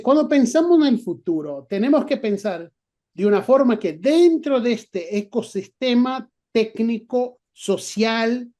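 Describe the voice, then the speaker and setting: A man's voice: high voice, a little mellow. A middle-aged man with an Argentine Spanish accent, a guest professor answering interview questions, thinking aloud with many restarts and fillers. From not from Argentina.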